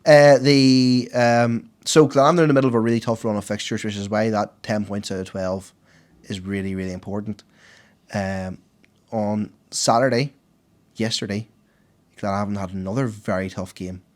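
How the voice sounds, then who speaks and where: A hoarse man with a Northern Irish accent who co-hosts a podcast and gives the sport round-up with animated, excitable enthusiasm. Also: lowish voice, moderate pace. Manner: enthusiastic, animated, laughs easily. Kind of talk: casual podcast chat.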